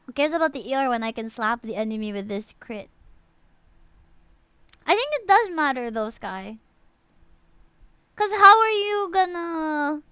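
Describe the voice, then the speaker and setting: A woman live-streaming a game, chatting casually with viewers and reading out stats in an animated way, with frequent exclamations and asides. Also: a little high, clear, resonant tone, no room sound. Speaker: live streamer reviewing players' game characters.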